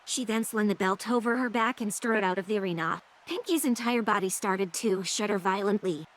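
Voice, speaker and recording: A woman's voice: mid-range voice, a little fast. A woman narrating a scripted story as the narrator of an audio drama. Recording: clearly noisy background.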